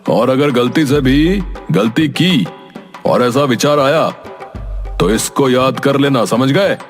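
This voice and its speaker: A man's voice: mid-range voice, slow pace, faint music behind, natural voice. A man voicing a stern, threatening village police chief in a cartoon moral story, scolding and giving orders. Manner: commanding, scolding. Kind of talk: animated Hindi moral story acting.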